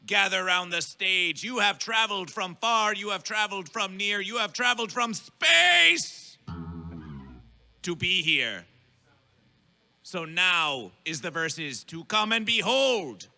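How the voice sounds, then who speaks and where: A very high-pitched man emcees a live festival stage like a grand town crier, in old-fashioned dramatic phrases. His voice is piercing and gravelly, and he draws out and shouts his big words. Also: animated, takes it slowly. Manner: stretches and shouts key words. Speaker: festival master of ceremonies. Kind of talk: theatrical stage announcing, chanted band introductions. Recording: live stage sound, faint music behind.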